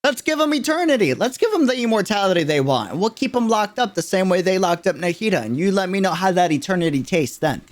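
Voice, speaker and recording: A man's voice: high-pitched, lively intonation, fast talker, few pauses. A man giving a casual livestream reaction in an emphatic, slangy way, repeating phrases to drive them home. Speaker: streamer reacting to a game character trailer.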